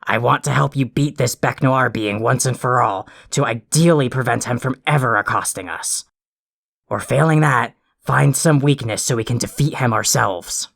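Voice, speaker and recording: An actor performs a cranky alien troll for an audio drama. The voice is gravelly and full of creak. The delivery is bossy, pompous and scathing, like someone forever fed up. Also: mid-range voice, normal speed, a bit bright. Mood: bossy, grim. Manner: ranting, insulting, pompously wordy. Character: irritable, foul-mouthed, bossy alien troll, self-important.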